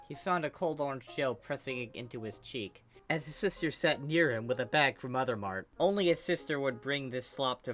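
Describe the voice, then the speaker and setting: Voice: medium pitch, fairly bright tone. Story narrator over faint music. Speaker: narrator, fan fiction reader. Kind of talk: expressive amateur story reading.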